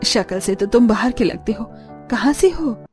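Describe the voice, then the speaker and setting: A woman's voice: fairly high pitch, animated, moderate pace, darkish tone, faint music behind, lightly acted. A woman voicing a kindly, hospitable poor village mother in a cartoon story, caring and polite. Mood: curious. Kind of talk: Hindi cartoon story dialogue.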